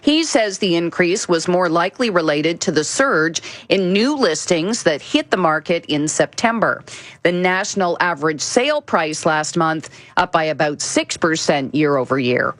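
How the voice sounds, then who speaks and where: A woman's voice: fairly low pitch, lively intonation, moderate pace, dry recording. A woman reading a scripted radio business report.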